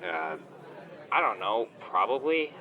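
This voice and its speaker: A man's voice: on the low side, big pitch swings, slow, some chatter behind. A man playing a smug, entitled online shop owner on a phone call in a comedy audio drama, whiny, condescending and petulant in casual slang. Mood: irritated, whiny. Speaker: caller, complaining customer.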